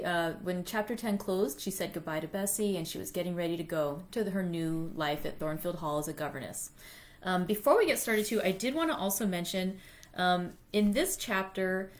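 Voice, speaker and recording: A woman hosting a read-aloud, moving between informal, self-deprecating chat and reading literary prose. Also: mid-range voice. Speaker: library read-aloud host. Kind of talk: chatty introduction, then literary audiobook-style reading.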